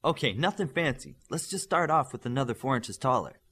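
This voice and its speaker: A cheeky teenage boy training as a superhero, played by a male narrator, in an audiobook tale. He wisecracks with dry humour, his voice lifted higher and moving animatedly. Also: lively intonation, a little fast, a bit bright, slightly rough. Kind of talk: audiobook character voice.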